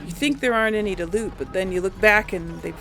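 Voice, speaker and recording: A woman's voice: medium pitch, quick pace, fairly bright tone, soft background music. A woman giving casual gameplay commentary, thinking aloud to viewers and often trailing off. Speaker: let's play host.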